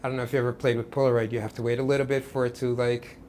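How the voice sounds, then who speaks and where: A man from Portugal, an artist guest, talking about his work in a relaxed, rambling way with many restarts. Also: mid-range voice, racing, a little hiss or hum. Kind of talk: casual interview.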